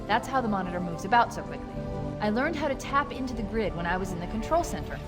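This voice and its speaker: An actress playing a clever female military AI in a video game, with crisp, analytical delivery. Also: medium pitch, animated, fast, close to an everyday voice. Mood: explaining, wry. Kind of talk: video game cutscene voice acting. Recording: loud game music behind.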